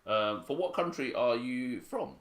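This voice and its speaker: A man from Hong Kong with a very deep voice, chatting and joking as he co-hosts his son's piano livestream and reads out viewer comments. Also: expressive, unhurried. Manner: chatty, joking, self-mocking. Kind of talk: casual chat reading viewer comments.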